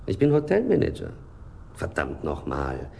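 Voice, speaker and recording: An actor playing a polite, precise and exasperated hotel manager in a German radio crime drama, courteous yet disdainful. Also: male voice, rumbling low, wildly swooping pitch, moderate pace, gravelly, darkish tone, exaggerated voice. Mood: indignant, dismissive.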